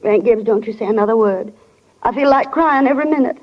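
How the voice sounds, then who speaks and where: Fussing middle-aged mother played by an actress, high voice, homely, muffled echoing vintage radio drama. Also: quick pace, lightly acted. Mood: worried, tearful. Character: fretful, motherly middle-aged small-town wife. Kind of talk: 1940s radio acting.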